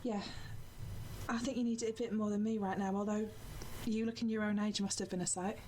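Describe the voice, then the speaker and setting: A woman's voice: medium pitch, fairly even intonation, fairly quick, a little mellow, slight background noise, natural voice. A woman playing a blunt, sassy but caring travelling companion in an audio drama, teasing and bantering.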